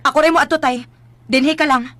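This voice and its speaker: A woman's voice: sits high, steady tone, fast talker, over loud music, natural voice. A woman with a Cebuano Filipino accent playing a feisty, protective young farmer's daughter in a radio drama, whiny and emphatic. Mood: resolute. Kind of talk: Philippine radio drama acting.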